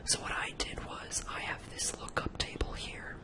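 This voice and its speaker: Someone whispering an informal ASMR-style spreadsheet tutorial, thinking aloud while demonstrating each step. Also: normal speed.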